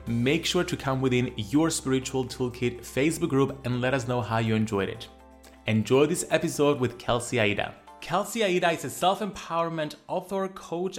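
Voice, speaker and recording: A man with a Greek accent hosting a podcast interview, reading an introduction and asking questions in an upbeat way. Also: mid-range voice. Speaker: podcast host.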